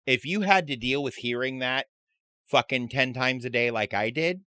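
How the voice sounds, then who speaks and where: A man co-hosting a casual podcast, telling stories with lots of swearing and quick put-on voices. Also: medium pitch, clean tone, dry recording, studio quality. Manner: profane, animated storytelling with put-on voices. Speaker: podcast co-host. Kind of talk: casual chat.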